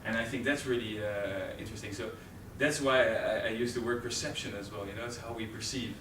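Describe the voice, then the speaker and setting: Young Dutch man presenting live, halting and filler-heavy; recorded from far back in a roomy, noisy training room. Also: mid-range voice, fairly even intonation. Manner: hesitant, many fillers and restarts. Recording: roomy, distant camera audio with noise.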